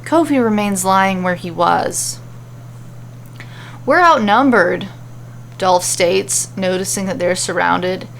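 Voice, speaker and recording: A woman's voice: on the low side, unhurried, gravelly, few pauses, a little hiss or hum. A woman reading a scripted fantasy adventure story as its narrator, telling it dramatically.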